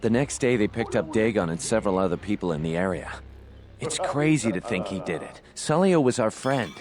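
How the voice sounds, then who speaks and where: Affable barfly card player male actor, game dialogue, lowish pitch, colourful talker, music underneath. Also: lively intonation, brisk, close to an everyday voice. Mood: sober, recounting. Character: amiable, hard-drinking cantina card player. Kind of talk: video game character dialogue.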